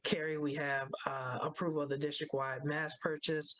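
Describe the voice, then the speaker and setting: Even-toned man chairing a formal meeting. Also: a little high, dry recording, rough recording. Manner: halting, procedural. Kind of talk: formal meeting procedure, reading motions aloud.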